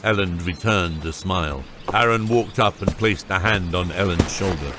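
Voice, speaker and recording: A man's voice: low-pitched, normal speed, background noise. A man narrating a scripted fantasy audiobook. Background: loud music.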